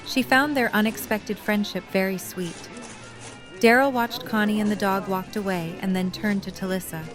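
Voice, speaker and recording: A woman's voice: mid-range voice, normal speed, music in the background. A woman narrating a fan audio drama, reading scripted story prose.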